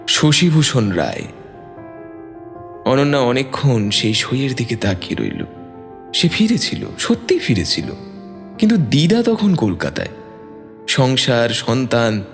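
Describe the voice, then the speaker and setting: A man's voice: medium pitch, wildly swooping pitch, a little slow, long pauses. A man narrating a scripted dramatic story. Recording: long reverb, music bed.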